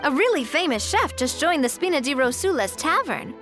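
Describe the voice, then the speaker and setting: A woman's voice: high-pitched, sing-song, brisk, fairly bright tone, faint music behind. An actress playing an earnest, principled young woman in a video game, with a frank, conversational manner. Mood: reassuring, casual.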